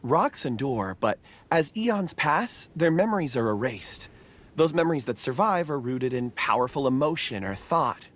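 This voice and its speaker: A woman's voice: rumbling low, animated, normal speed, a little hiss or hum. A woman voicing a reserved, polite and pensive young man in an anime-style video game, speaking reflectively and formally.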